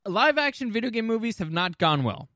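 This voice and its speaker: A man's voice: high voice, very animated, fairly quick, sharp tone, dry recording, clean studio sound. A man co-hosting a casual podcast, giving blunt opinions and swearing freely. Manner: opinionated, profane. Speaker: podcast co-host. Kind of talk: casual chat about film and game adaptations.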